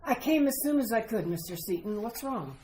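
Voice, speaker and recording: Woman acting a placating doctor, lively and high. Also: brisk, natural voice. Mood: concerned. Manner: questioning, placating. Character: calm, soothing, disbelieving family doctor. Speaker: actor in a radio play. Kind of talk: retro radio horror acting. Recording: roomy sound with faint noise.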